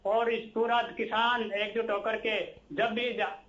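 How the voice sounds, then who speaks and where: Male political spokesman, sharp high voice with a steady tone, emphatic repetition, dull remote-link sound. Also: a little slow. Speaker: political party spokesman, debate guest. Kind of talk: political debate speech.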